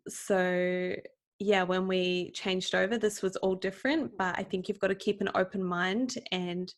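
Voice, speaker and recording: A young woman with a New Zealand accent introduces her own health podcast. She talks in a bright, gushing, chatty way, dropping the odd "um" and "like" as she goes. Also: mid-range voice, gravelly. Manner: upbeat, gushing, uses fillers like "um" and "like". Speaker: podcast host. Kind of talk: casual solo podcast intro.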